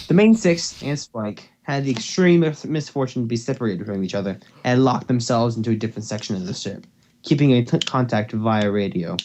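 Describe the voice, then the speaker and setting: A man's voice: medium pitch, darkish tone. A man reading fan fiction informally to a co-host over a voice call, stumbling over words and restarting. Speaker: story reader and co-host. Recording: noisy home voice call recording.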